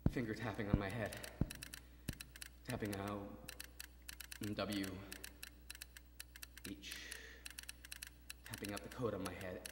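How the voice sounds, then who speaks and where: An actor playing a gravely wounded young soldier in a stage drama, halting, repetitive and pleading, moving between murmurs and cries. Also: male voice, fairly low pitch, heavily acted. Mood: tense, concentrating. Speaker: actor in a stage adaptation of a radio play. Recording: roomy hall, distant mic, faint noise.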